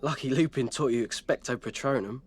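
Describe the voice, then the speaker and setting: A man's voice: medium pitch, brisk, natural voice. An actor playing a blunt, grumbling teenage wizard boy in a video game. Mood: curious, grumbling. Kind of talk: scripted video game cutscene acting.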